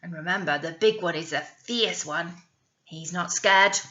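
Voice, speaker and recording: A woman's voice: on the low side, measured pace, sharp tone. A British woman, a teacher, telling a story to young children expressively, with coaxing questions and asides, on a slightly dull recording.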